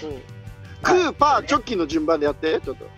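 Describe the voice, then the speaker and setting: A young man chats casually over a video call. His voice is pitched high and moves up and down a lot, with soft music underneath. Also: sing-song, brisk, dull audio. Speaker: comedian. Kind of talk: casual banter.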